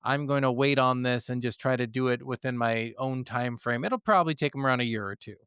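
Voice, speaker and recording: A man's voice: mid-range voice, fairly quick, a bit bright, clean tone, no echo, clean studio sound. A man co-hosting a casual gaming podcast, talking informally and swearing freely. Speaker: podcast co-host.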